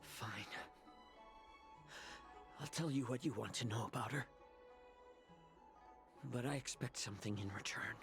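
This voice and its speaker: Casting: male actor as captive fox-folk healer for a game, mid-pitched, fry-edged, composed, shrewd, quietly defiant, music underneath. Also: close to an everyday voice. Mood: defiant, bargaining. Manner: measured, deliberate, calm under threat. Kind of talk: dramatic video game voice acting.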